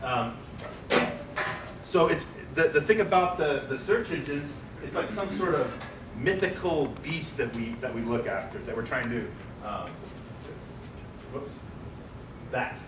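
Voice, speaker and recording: Man, loud voice, chatty, asks the room questions, far from mic, echoey, noisy. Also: medium pitch, lively intonation, a little slow, long pauses. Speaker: panel speaker. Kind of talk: conference presentation. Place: large office meeting room.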